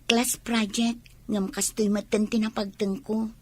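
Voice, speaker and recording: Woman acting a husky, sly Ilocano college girl. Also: mid-range voice, level tone, a little slow, close to an everyday voice. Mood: innocently polite. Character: sly, mercenary young college girl, a bold schemer. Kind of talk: Ilocano radio comedy drama acting.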